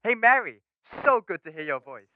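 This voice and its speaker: A man's voice: high voice, sing-song, normal speed. A young man playing a brash, chummy businessman in a radio drama, hearty and boisterous through a telephone filter. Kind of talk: school radio drama acting. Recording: thin, narrow-band telephone line.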